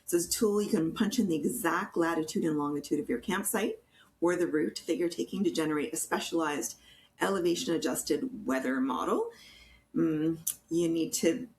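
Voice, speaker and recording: A Canadian woman co-hosting a podcast, explaining practical tips in an informal, somewhat halting conversational way. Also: low-pitched, a little mellow, rough recording. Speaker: podcast co-host. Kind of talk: casual explanatory chat, listing tips. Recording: faint background noise.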